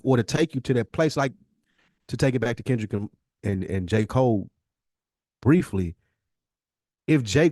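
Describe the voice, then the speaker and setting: Male podcast co-host, medium pitch, dry close-miked sound. Earnest, slangy, conversational, leans on rhetorical questions. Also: a little mellow, dry recording. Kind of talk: casual reflective podcast conversation.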